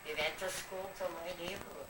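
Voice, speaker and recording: An elderly woman with a low voice, whose first language is Yiddish, rambles through memories of her girlhood and often asks for questions again. She sounds far from the camera in an echoey room. A steady hiss of noise runs under her old home video. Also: normal speed. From Eastern Europe. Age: older. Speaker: grandmother recalling her youth. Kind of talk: home video family interview. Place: family home. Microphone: home video camera from across the room.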